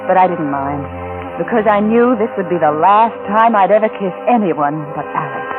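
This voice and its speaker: An actress playing a scheming, adulterous wife who is coolly manipulative and falsely tender, in a 1940s radio drama style. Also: female voice, mid-range voice, natural voice. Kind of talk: 1940s radio drama acting with confessional narration. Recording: old lo-fi radio recording with music.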